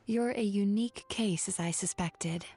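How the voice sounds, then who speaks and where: A woman playing a composed, caring, gently wry young therapist with a measured, articulate manner in an anime-style video game. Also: medium pitch, soft background music, natural voice. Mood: thoughtful, caring.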